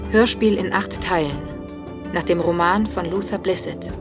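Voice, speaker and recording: A woman's voice: on the low side, normal speed. A woman announcer reading scripted credits for a radio drama. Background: prominent music.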